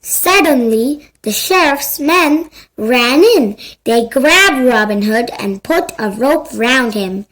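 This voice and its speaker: High-voiced, slow-paced woman storyteller. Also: expressive, fairly bright tone, poor audio. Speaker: storybook narrator. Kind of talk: scripted children's story narration.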